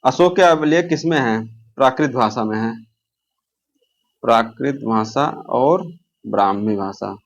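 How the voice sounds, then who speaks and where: A man's voice: mid-range voice, unhurried, pauses often. A man teaching an exam-prep lesson, asking quiz questions and answering them himself, repeating the key answers. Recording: dull lo-fi audio.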